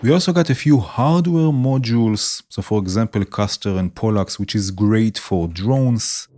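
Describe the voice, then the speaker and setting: A man hosting a modular synth tutorial, explaining modules in a relaxed way and often saying "right" and "let's say". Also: fairly low pitch, normal speed, heavy background noise. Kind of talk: demonstrating modules while talking. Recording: music playing behind the voice.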